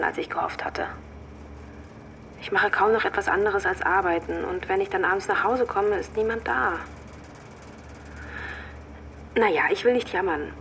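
A woman's voice: medium pitch, fairly bright tone, a little hiss or hum, natural voice. An actress playing a pensive, lonely working mother who talks hesitantly and thoughtfully in a naturalistic radio drama. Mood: lonely, weary.

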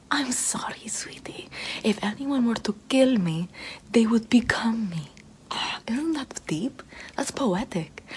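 A woman voicing a smug, egg-laying, baby-eating vampire mother in a breathy whisper with broken baby talk and lots of repetition. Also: mid-range voice, a little mellow, slight background noise, natural voice. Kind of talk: whispered comic roleplay.

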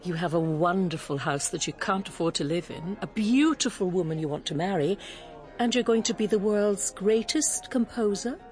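Actress as wry, worldly woman singer, expressive pitch. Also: normal speed, faint music behind, close to an everyday voice. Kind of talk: radio drama acting.

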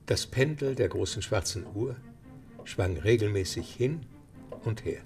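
Male radio-play narrator, lowish and gravelly, husky tone, faint music underneath. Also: animated, unhurried, darkish tone.

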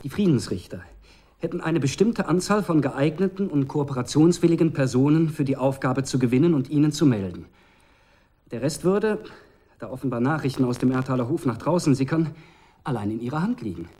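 An actor playing a cool, calculating young strategist in a radio drama, speaking in formal, measured, precise phrases. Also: male voice, mid-range voice, animated, dark tone, breathy edge. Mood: calculating, persuasive. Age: young adult. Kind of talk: German radio drama acting.

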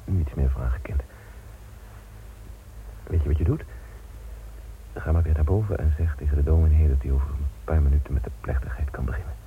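A stern man of means in a radio play, measured and formal. The voice is extremely low, on a narrow, phone-like old recording with faint noise. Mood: soothing, firm. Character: stern, controlling, wealthy male village magnate. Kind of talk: radio drama acting.

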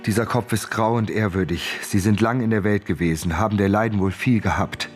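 An actor playing a proud, defiant fugitive outlaw in a German radio play, speaking formally and theatrically. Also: male voice, fairly low pitch, fairly quick, faint music behind, lightly acted. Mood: grave, solemn.

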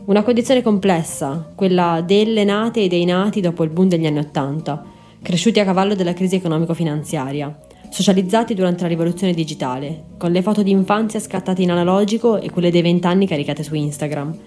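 Female podcast narrator, twenties, gentle mellow timbre, scripted read over quiet music. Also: on the low side, fast talker. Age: 20s. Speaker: podcast host and writer.

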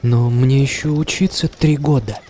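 A man's voice: mid-range voice, fairly even intonation, moderate pace, warm tone, slight background noise, close to an everyday voice. An actor playing an earnest, stubborn and wry young man, a student, in an audio drama. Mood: reserved, firm. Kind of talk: Russian audio drama acting.

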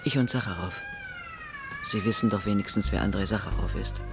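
An actor playing an earnest Soviet dissident in a German radio drama, speaking urgently and secretively in clipped phrases. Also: male voice, lowish voice, brisk, natural voice. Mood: earnest, impatient. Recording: music behind the voice.

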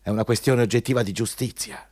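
An actor in an Italian radio play playing an arrogant, bullying company boss, pompous and insistent, repeating words for emphasis. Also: male voice, mid-range voice, steady tone, fast, a little mellow, dry recording, clean studio sound, close to an everyday voice. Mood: self-important. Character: arrogant, bullying male company boss interviewing a job applicant.

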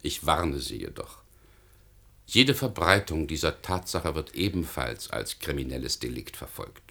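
An actor playing a cold, controlling government official in a German radio play, formal and measured in manner. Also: male voice, very deep voice, very animated, creaky, a bit bright, exaggerated voice.

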